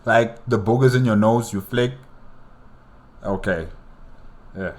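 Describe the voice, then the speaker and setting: Low-voiced man thinking aloud. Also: slow, a little mellow. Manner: curious, thinking aloud with fillers. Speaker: reaction channel host. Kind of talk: casual reaction commentary.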